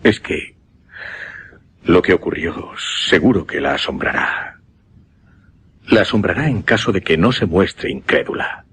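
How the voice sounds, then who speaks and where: An actor with a Castilian Spanish accent playing a grave, horrified gentleman who recounts a ghastly death in a dramatic, halting way for a suspense radio play. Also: deep voice, expressive, slight background noise. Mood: solemn, hesitant. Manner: dramatic, halting, dwelling on each dreadful word.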